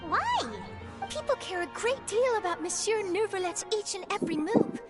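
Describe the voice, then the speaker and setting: Casting: gossipy young female reporter, game dialogue, very high and animated. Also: normal speed. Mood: confiding. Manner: chatty, theatrical. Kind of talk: video game character dialogue. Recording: game music behind the voice.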